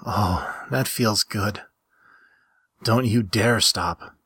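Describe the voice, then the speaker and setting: A furry skunk-woman from a story read by a man, weary and affectionate. The voice is husky and breathy, recorded dry and close. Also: on the low side, extremely slow, a bit bright, no echo, heavily acted.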